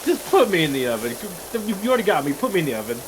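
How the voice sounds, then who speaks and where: A man's voice: fairly high pitch, big pitch swings, racing, background noise. A man bantering casually as a game streamer, dramatically exasperated and sweary.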